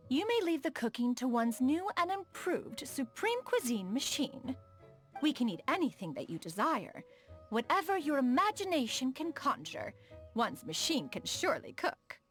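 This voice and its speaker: A proud adeptus noblewoman and inventor for a video game: high, expressive delivery, stately archaic phrasing. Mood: gracious, self-assured. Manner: formal, archaic, lofty diction, speaks of herself as "one". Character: proud, refined immortal adeptus woman, inventor of mechanical contraptions. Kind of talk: scripted video game character dialogue.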